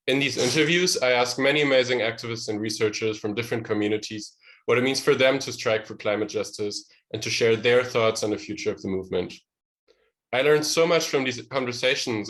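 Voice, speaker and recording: A man introducing and hosting an interview series, speaking in a measured, friendly way with some fillers. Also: mid-range voice, fairly bright tone, rough recording.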